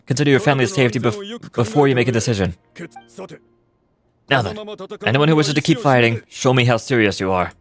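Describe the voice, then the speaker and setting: An actor playing a resolute, earnest war leader in a fantasy video game, speaking in a commanding, deliberate way. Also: male voice, medium pitch, lightly acted. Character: resolute male war leader rallying his fighters. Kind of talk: dramatic fantasy game voice acting.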